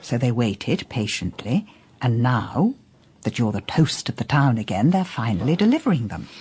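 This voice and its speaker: Middle-aged English detective, shrewd, sympathetic, measured, animated pitch, radio drama, noisy background. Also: female voice. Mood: gentle, insistent.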